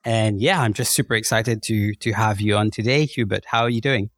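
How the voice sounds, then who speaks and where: A man's voice: on the low side, fairly quick, fairly bright tone, no echo, clean studio sound. A man hosting a podcast, introducing his guest and asking interview questions in a conversational way. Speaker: podcast host.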